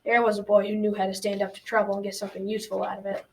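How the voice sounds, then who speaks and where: A woman's voice: medium pitch, flat delivery, darkish tone, slight background noise, lightly acted. A young girl playing a kindly, folksy old grandfather in a school radio drama. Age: child or teen. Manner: folksy, measured advice-giving. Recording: slightly distant school recording.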